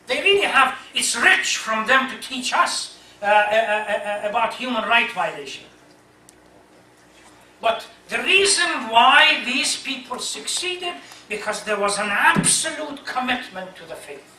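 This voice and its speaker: A man, a native Persian speaker, delivering an impassioned, emphatic religious lecture as an imam, halting at times and posing rhetorical questions. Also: extremely high pitch, very animated, pushed and bright. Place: echoey hall, speaking to a congregation. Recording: roomy distant mic, faint noise.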